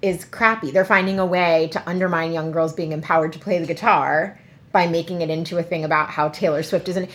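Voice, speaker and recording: A woman co-hosting a podcast in casual chat, animated, swearing and mocking people in quoted voices. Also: fairly low pitch, a little fast. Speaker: podcast co-host.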